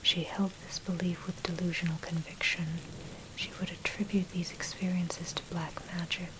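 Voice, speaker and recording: A woman's voice: fairly low pitch, moderate pace, rough recording. Whispering woman reading ASMR narration. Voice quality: breathy unvoiced whisper. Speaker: narrator reading own dark history article. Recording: steady noise, rain and thunder behind. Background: rain and thunder.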